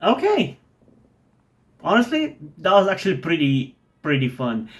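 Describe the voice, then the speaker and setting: A man's voice: on the high side, huge pitch swings, measured pace. Rambling man reacting to music. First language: not English. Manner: thoughtful, rambling, with many "like"s and restarts. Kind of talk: casual music reaction commentary.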